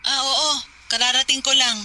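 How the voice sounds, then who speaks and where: A woman playing a calm, sceptical working wife in a radio drama, casual and reassuring in her talk. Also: high voice, moderate pace. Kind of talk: radio drama dialogue, phone call scene.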